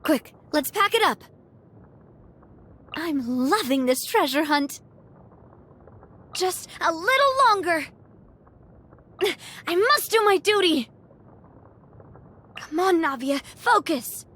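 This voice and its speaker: A woman playing a cheerful, genteel young lady and confident leader in a video game, bubbly, expressive and playful. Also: extremely high pitch, slow pace, long pauses, exaggerated voice. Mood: spirited, battle-ready, then determined. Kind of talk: video game character voice lines.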